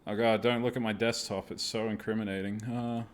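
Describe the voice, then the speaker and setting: A man's voice: on the low side, little pitch movement, fairly quick. A man hosting a live gaming stream, chatting casually in a self-deprecating, apologetic way with plenty of swearing.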